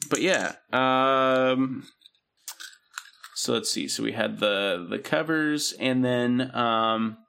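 A young man co-hosting a podcast, speaking with a gravelly, creaky texture. He chats in a laid-back, rambling way and fills his pauses with plenty of ums. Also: mid-range voice, sharp tone, slight background noise. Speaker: podcast co-host. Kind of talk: casual chat.

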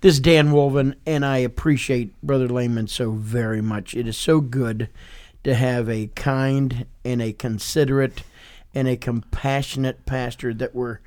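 A man's voice: medium pitch, fairly even intonation, unhurried, darkish tone. An American man co-hosting a podcast in casual conversation. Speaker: podcast co-host.

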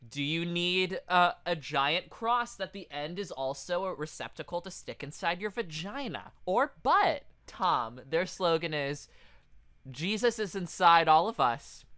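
A man's voice: high-pitched, animated, measured pace, bright, forceful tone, clear, resonant tone. A man co-hosting a comedy podcast, talking in informal, joking patter. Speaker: podcast co-host. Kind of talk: casual comedic banter, mock ad read.

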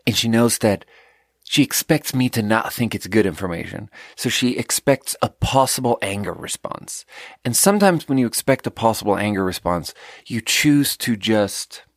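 A man's voice: fairly low pitch, expressive, moderate pace, no echo, clean studio sound. Rambling, profane man telling a story. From Sweden. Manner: rambling, profane, laughs at his own jokes. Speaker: podcast host. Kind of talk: casual storytelling monologue.

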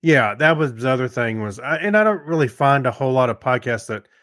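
A man co-hosting a podcast in casual, somewhat halting conversation. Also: medium pitch, expressive, a bit bright, no echo, clean studio sound. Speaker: podcast co-host. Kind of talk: casual chat.

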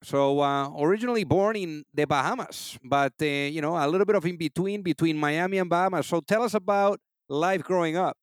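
A man hosts an interview show in a smooth, resonant voice pitched a little high. He asks loose, rambling questions full of fillers, and the recording is dry and close. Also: a little fast, hardly any pauses, no echo. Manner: relaxed, filler-heavy questions. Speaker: podcast host. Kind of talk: casual interview, reads sponsor ad.

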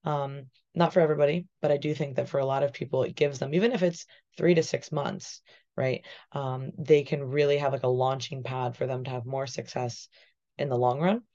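Slightly hoarse woman on a video call. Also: a bass voice, steady tone, brisk, warm tone. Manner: thoughtful, explanatory, says "right?" often. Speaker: guest physique coach. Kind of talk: casual podcast discussion. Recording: muffled video call audio.

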